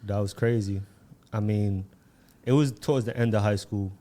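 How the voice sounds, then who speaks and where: A man's voice: lowish voice, fairly even intonation, moderate pace, dark tone. A man from the Bronx, a podcast guest, laid-back with short replies. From Bronx, New York. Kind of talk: casual interview chat.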